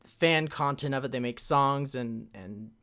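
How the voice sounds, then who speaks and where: A man's voice: medium pitch, measured pace, a bit bright, clean tone. Rambling man hosting a streamed fan panel. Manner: loose and rambling, many fillers and restarts, checks the chat. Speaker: convention panel host. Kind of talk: casual live-streamed panel presentation.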